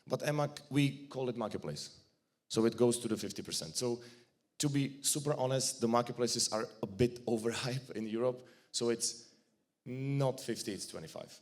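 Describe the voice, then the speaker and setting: A man's voice: mid-range voice, normal speed. Czech-accented man giving a casual conference talk. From Czech Republic. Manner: casual, joking, blunt speech with restarts. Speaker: conference speaker. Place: conference hall.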